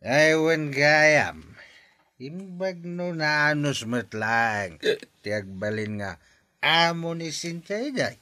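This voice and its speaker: Guy voicing a drunk older ilocano father, slurry, slow and dry-sounding. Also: fairly high pitch, animated, pushed and bright, dry recording, full character voice. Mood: mollified. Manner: slurred, rambling drunken talk. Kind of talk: radio drama acting.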